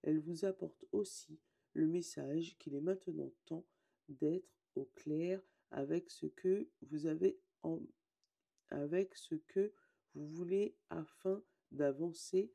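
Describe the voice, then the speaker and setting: A hoarse woman, casually chatting and reading oracle card meanings aloud, often correcting herself. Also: sits low, slow pace, mellow. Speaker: tarot and oracle card reader.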